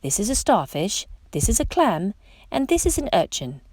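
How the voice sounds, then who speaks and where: A woman reads a children's story aloud in an expressive storytime style. Her pitch moves a great deal, with dramatic emphasis on exclamations and questions. The recording is dry, with hardly any room sound around her voice. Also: moderate pace, dry recording. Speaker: storytime reader. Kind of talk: read-aloud children's picture book narration.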